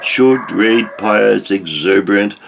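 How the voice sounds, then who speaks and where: Slow, low-voiced Australian man reviewing. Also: vocal fry. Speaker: book reviewer. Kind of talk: scripted review narration. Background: music.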